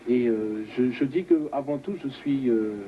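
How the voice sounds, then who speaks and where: A man from Guiana, giving a reflective interview answer in a halting, searching way. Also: medium pitch. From French Guiana. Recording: lo-fi muffled band, faint background noise.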